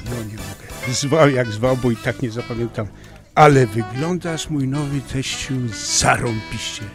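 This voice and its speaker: An actor playing a baffled, easygoing son-in-law in an audio drama, speaking colloquially. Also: male voice, medium pitch, a little slow, music in the background, natural voice. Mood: amused, admiring. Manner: colloquial, animated.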